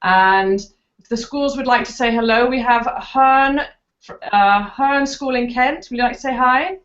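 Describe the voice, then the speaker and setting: A woman's voice: on the high side, measured pace, a bit bright. A woman moderating a school video chat, polite and a little hesitant with fillers as she introduces people. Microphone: video call. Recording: dull lo-fi video call audio.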